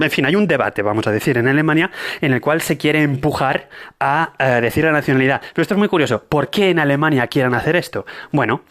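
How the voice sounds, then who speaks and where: A man talks through a solo podcast episode in a casual, conversational way. He often corrects himself mid-sentence and drops in wry asides. His pitch sits in the ordinary middle range for a man. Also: fairly bright tone. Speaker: podcast host.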